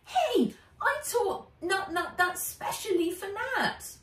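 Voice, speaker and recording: A woman voicing an eager, cheeky young schoolboy in a children's story, with an excitable manner. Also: slow, full character voice. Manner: excitable, high-pitched. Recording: roomy, distant home camera sound.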